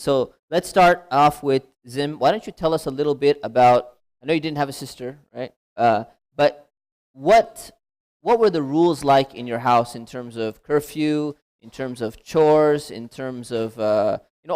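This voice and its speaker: A man moderating a panel in a relaxed, conversational way. He asks probing follow-up questions and sprinkles his talk with Arabic blessings. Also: medium pitch, clean tone.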